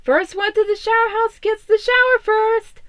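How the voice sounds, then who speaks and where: Boisterous, competitive teenage boy turtle played by a woman, raised pitch, challenging, for a cartoon-based storybook. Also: steady tone, normal speed, fairly bright tone.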